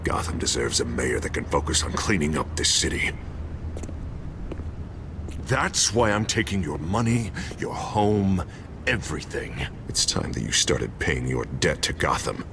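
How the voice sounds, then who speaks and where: An actor playing a self-righteous, menacing and unstable city mayor, with a gravelly voice and grandiose delivery in a video game drama. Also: male voice, a bass voice, big pitch swings, a little slow, noisy background, heavily acted. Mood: cold, resolute. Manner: grandiose, forceful declarations.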